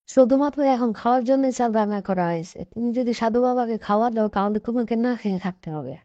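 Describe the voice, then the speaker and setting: A woman narrator puts on a practical village wife from a cartoon tale, explaining things plainly and standing by her husband. The voice is dark and relaxed, in a dry, dulled recording. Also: on the high side, a little fast, no room sound, close to an everyday voice. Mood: worried, objecting. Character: poor farmer's practical, supportive village wife. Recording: dull, dry lo-fi track.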